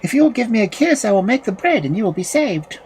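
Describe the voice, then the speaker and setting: A woman voicing a kind young man, the witch's son, who speaks gently and coaxingly in a fairy tale reading. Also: on the high side, sing-song, fast, a little hiss or hum, pitched above the performer's own voice. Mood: gentle.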